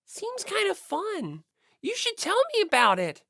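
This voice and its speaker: A woman's voice: very high-pitched, wildly swooping pitch, a little slow, sharp tone, no room sound, studio quality, pitched above the performer's own voice, full character voice. A woman voicing a cheerful, easygoing teenage schoolgirl for an audiobook, with a teasing lilt. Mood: playful, curious. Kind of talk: audiobook character acting.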